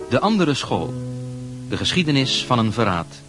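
Announcer: man, mid-pitched with varied intonation, reading drama credits over clear guitar music. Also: big pitch swings, normal speed. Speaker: announcer of the radio play. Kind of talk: scripted title and credits announcement.

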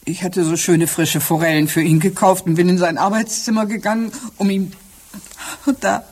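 An actress playing a shaken, upset housekeeper who speaks haltingly in a German radio crime drama. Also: female voice, fairly low pitch, steady tone, a little mellow, natural voice. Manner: halting, upset, repeats herself. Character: distraught, shaken housekeeper who found her employer dead.

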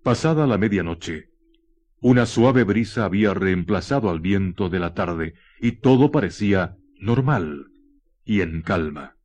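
Slow, low-voiced man narrating suspense. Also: expressive, a little mellow. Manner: deliberate, suspenseful, with weighted pauses. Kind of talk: dramatic scripted radio horror narration. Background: faint music.

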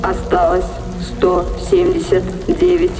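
A woman voicing an impersonal ship computer in an audio drama, making formal, measured announcements with a reverberant effect. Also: fairly low pitch, big pitch swings, exaggerated voice. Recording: long echoing reverb, music behind.

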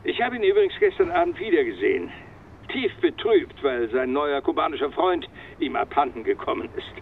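An actor playing a stubborn, articulate and formal French police commandant in a German radio crime drama. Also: male voice, on the high side, expressive, fairly quick, gravelly, slight background noise. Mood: explanatory. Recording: dull, band-limited phone-call sound, faint music.

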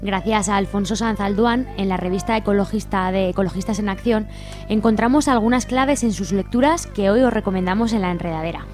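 Woman, Spain accent, radio presenter, medium pitch, scripted reading, faint music. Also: brisk, a little mellow. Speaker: radio co-host.

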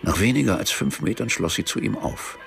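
A male actor narrating a literary radio play in a measured, detached way, as a storyteller with dry irony. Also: deep voice, animated, creaky, fairly bright tone, faint music behind, heavily acted.

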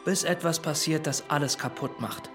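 A man's voice: fairly high pitch, moderate pace, close to an everyday voice. An actor as a nerdy young man in a radio drama, socially awkward and jittery. His delivery is hesitant, with faint music underneath. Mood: unsure. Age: young adult. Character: nervous, nerdy young male maths student, socially awkward. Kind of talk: naturalistic radio drama acting.

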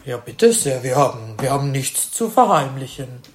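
A woman playing an indignant, defensive parent in an amateur school radio play, speaking emphatically and a little haltingly. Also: rumbling low, very animated, slight background noise.

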